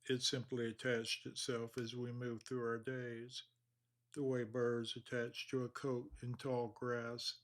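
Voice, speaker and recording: Man, meditation guide, slow measured delivery, calm, long pauses, mid-pitched voice.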